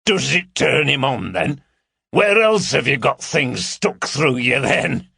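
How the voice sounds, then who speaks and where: Audiobook role: burly male intruder played by a man, crude, leering, swaggering, animated intonation. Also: mid-range voice, slow, vocal fry, slightly rough, no room sound, clean studio sound, pitched above the performer's own voice, exaggerated voice. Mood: mocking. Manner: mocking, lewd, blunt. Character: huge, bearded, jeering, crude male intruder.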